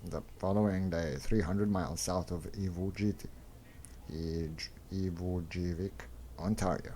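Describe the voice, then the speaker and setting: A man's voice: low voice, fairly even intonation, measured pace, warm tone. A man reading a comic book story aloud as narrator, stumbling over unfamiliar names, in a slightly noisy recording.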